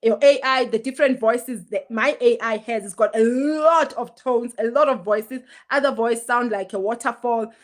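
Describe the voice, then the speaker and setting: A woman's voice: a little high, normal speed, hardly any pauses. A woman, hosting a casual livestream and talking straight to her viewers in an emphatic, chatty way. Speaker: livestream host.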